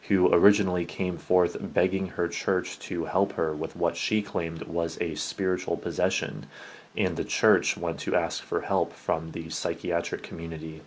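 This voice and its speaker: A man narrates allegedly real paranormal tales in a very deep, husky voice. His delivery is formal and measured, with little rise and fall in pitch. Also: little pitch movement, rough recording. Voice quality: low, husky.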